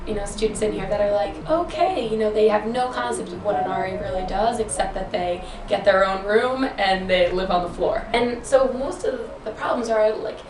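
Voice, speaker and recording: A woman's voice: mid-range voice, moderate pace, few pauses, noisy background. A woman residence coordinator answering interview questions in a chatty way, with lots of "like" fillers. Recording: roomy echo, distant mic, loud music underneath.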